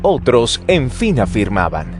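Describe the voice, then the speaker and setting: Animated male scripture narrator. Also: mid-range voice, a little slow. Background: music.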